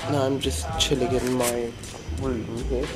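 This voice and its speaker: A young man casually talking to his viewers in a travel vlog, relaxed and chatty. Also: mid-range voice, measured pace. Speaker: travel vlogger. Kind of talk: casual vlog talk to camera. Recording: loud music playing over the voice.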